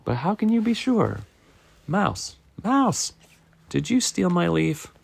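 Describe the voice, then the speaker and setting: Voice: mid-range voice, very animated, takes it slowly, vocal fry, breathy edge, dry recording, pitched above the performer's own voice, exaggerated voice. A reader voicing a frantic, suspicious squirrel in a picture book, melodramatic and exclaiming. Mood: worried, accusing. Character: frantic, suspicious squirrel fretting over stolen leaves. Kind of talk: picture-book read-aloud.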